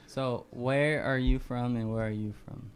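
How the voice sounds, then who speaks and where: A man's voice: mid-range voice, steady tone, a little slow, slight background noise. A man hosting a community radio show who asks guests short, laid-back questions. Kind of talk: casual interview questions. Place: community station studio.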